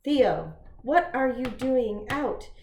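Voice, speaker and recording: A woman's voice: high-pitched, lively intonation, slow pace, darkish tone, a little hiss or hum, exaggerated voice. Mom doing a worried mother figure, pleading and protective, echoey room. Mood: anxious. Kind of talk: home puppet show.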